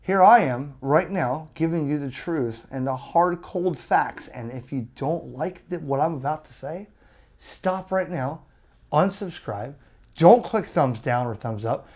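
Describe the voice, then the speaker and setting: Emphatic man ranting, swinging pitch. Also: animated, a little slow, darkish tone. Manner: emphatic, exasperated, blunt. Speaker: ham radio YouTuber. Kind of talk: opinionated rant to viewers.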